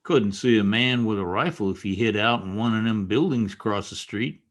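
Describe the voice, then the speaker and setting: An actor playing a loyal, fretful Old West deputy in a radio western, with a folksy rural drawl and deferential manner. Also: male voice, lowish voice, normal speed, close to an everyday voice.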